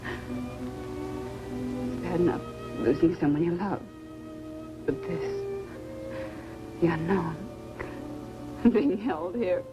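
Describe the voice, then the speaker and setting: An actress playing a grieving, overwhelmed young woman in a 1950s science-fiction film, halting and emotional. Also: fairly low pitch, lively intonation, poor audio. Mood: grieving, distraught. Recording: 1950s film soundtrack under loud music.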